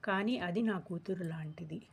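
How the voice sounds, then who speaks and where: A woman's voice: fairly low pitch, normal speed, vocal fry, breathy edge, voice pushed down. A woman voicing a humble, devoted poor village man in a cartoon story, speaking politely and in a subdued way. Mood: humble, firm. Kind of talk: acted cartoon story dialogue.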